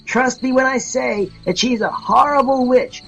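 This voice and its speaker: Casting: male actor as brash, teasing young-at-heart detective for a comedy audio play, excitable banter over music. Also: extremely high pitch, moderate pace, creaky, dull audio, exaggerated voice. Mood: cocky teasing. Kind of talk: amateur comedic audio drama.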